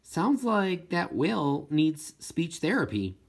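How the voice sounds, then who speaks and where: A man's voice: on the high side, very animated, takes it slowly, creaky, breathy edge, voice pushed up, full character voice. A man voicing a weary, dismissive father in an audiobook, offhand and brushing things off. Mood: offhand, joking.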